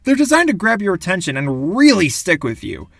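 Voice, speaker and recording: A young man giving scripted, wry comic narration about video games in a casual, animated way. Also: high-pitched, fairly quick, vocal fry, no room sound. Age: young adult. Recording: dry voice-over with music behind.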